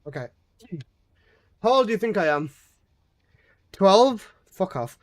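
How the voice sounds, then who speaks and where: A man's voice: high-pitched, big pitch swings, slow. A man in his twenties, a game streamer chatting casually and jokingly with his live chat, swearing often. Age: 20s.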